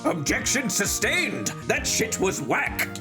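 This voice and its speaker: A man's voice: high voice, very animated, takes it slowly, music in the background, full character voice. A man voicing a bumbling, easily distracted courtroom judge in a game, stammering between mock formality and grumbling asides. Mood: flustered authority. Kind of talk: playful amateur voice-over of a courtroom game.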